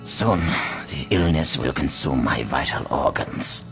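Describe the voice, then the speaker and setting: An actor playing a dying, ruthless old crime lord in a video game, speaking slowly and gravely with a breathy, gravelly voice. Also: male voice, a bass voice, animated, over music. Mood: grim, bitter. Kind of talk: video game character acting.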